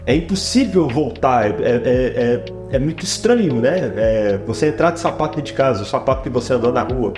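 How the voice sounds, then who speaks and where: A man's voice: mid-range voice, lively intonation, brisk, hardly any pauses. A man with a Brazilian Portuguese accent giving talkative, explanatory interview answers, often adding tag questions. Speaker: interview guest, photographer and production director. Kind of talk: conversational interview answers with personal anecdotes. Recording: roomy echo, guitar music behind. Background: guitar music.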